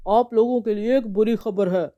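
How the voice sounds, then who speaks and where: A man playing a formal, matter-of-fact hotel boss in an animated story, with slightly muffled audio. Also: sits high, moderate pace, natural voice. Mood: grave, resigned. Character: male hotel boss breaking bad news, firm and resigned.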